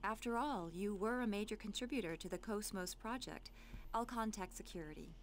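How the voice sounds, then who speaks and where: A woman's voice: mid-range voice, brisk, slight background noise, lightly acted. Actress as a self-assured, friendly female scientist. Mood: casual, helpful. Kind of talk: video game cutscene dubbing.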